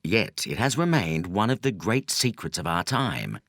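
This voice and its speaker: Guy reading a nonfiction audiobook, deepish voice that moves up and down a lot, deliberate phrasing, really dry close sound. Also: very animated, fairly bright tone, no room sound. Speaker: audiobook narrator. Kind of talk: scripted audiobook narration of a nonfiction book.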